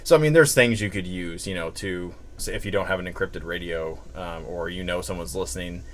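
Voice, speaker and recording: A man's voice: sits low, brisk, a bit bright, a little hiss or hum. A man with an American accent giving a casual, unscripted product review in a rambling, conversational way full of asides. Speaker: gear reviewer presenting a product.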